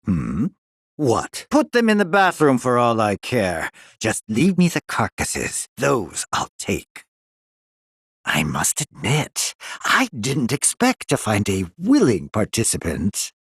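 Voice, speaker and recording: An actor playing a pompous middle-aged mad scientist in a video game, sinister and gloating, drawling his lines with relish in a raspy voice. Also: medium pitch, huge pitch swings, slow, dry recording, studio quality, heavily acted. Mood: cold, dismissive. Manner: pompous, drawling, relishing menace with sly chuckles. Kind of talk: theatrical video game villain acting.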